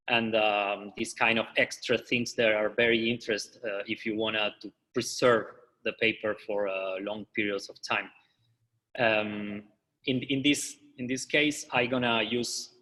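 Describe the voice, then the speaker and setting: Argentine man, halting English, bright echoey voice. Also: medium pitch. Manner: halting non-native English, frequent fillers and restarts. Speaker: artist leading a workshop. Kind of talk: live online demonstration.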